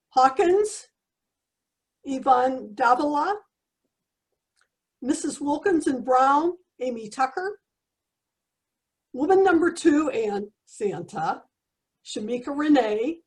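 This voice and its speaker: A woman's voice: sits high, expressive, slow, long pauses, rough recording. A woman announcer reading scripted credits for a radio comedy.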